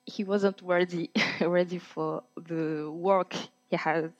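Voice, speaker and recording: A woman's voice: lowish voice, fairly even intonation. Hesitant young woman, lo-fi. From Côte d'Ivoire. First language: French. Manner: hesitant, many pauses and fillers. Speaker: guest. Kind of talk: casual interview chat. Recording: dull lo-fi audio.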